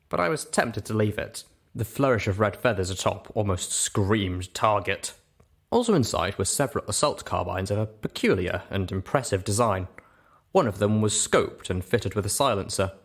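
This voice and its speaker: A man's voice: lowish voice, animated, moderate pace. A man narrating a story expressively as host of a reading show.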